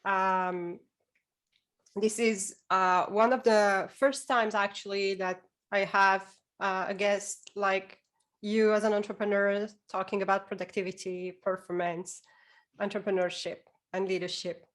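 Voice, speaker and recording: A woman's voice: medium pitch, flat delivery, a little slow, fairly bright tone. A woman hosting a casual podcast interview in an encouraging, effusive way.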